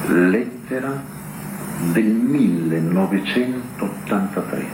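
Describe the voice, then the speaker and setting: Man, dramatic poetry recital, slow and deliberate, very varied intonation, roomy noisy recording. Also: on the low side, animated. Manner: deliberate, with weighted pauses.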